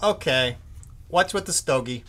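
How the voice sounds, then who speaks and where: Jumpy, bright-voiced young studio newcomer. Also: male voice, on the high side, lively intonation, unhurried. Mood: casual. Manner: folksy, nervous, muttering to himself, exclaiming. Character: eager, jumpy young male new radio studio employee. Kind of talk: live old-time radio drama acting. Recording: live stage recording with faint noise.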